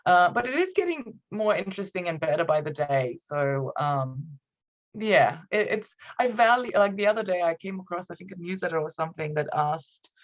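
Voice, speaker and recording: Guest, 30s woman, Romanian accent, low voice, reflective and halting, muffled call audio. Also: lively intonation. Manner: reflective, hesitant, laughs often. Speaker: podcast guest, copywriter. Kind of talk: conversational interview.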